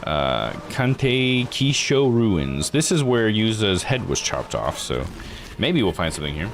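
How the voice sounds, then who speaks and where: Deep-voiced man casually commentating a game. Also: sing-song, a little slow, noisy background. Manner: thinks aloud, folksy slangy phrasing. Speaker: game streamer. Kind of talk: casual let's play commentary. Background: game music.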